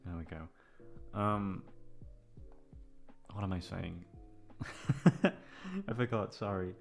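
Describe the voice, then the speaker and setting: A man's voice: sits low, very animated, slow, vocal fry. A man in his twenties chatting casually and hesitantly to listeners, self-deprecating and swearing freely as he rambles. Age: 20s. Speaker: voice actor addressing listeners. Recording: music playing behind the voice. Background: background music.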